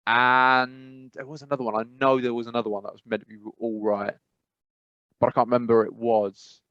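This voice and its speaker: A man's voice: mid-range voice, little pitch movement, fairly quick, a bit bright, dry recording. A man co-hosting a casual podcast, rambling with lots of fillers and restarts. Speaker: podcast co-host. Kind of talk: casual chat. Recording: dry, slightly dull sound.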